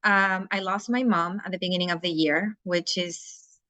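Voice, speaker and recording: A Chilean woman speaking English with her native Spanish still in her accent. She talks in a frank, outspoken way, and her voice comes through bright and tense. The sound is very dry, with no room around it. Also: fairly low pitch, fairly even intonation, moderate pace, dry recording. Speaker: guest, life coach. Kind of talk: casual interview conversation.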